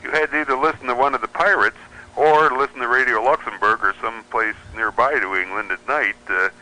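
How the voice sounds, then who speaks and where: Bright, forceful American man. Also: medium pitch, a little fast, low-quality recording. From United States. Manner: explanatory, opinionated. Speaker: radio show guest, pirate radio enthusiast. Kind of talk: conversational radio interview commentary.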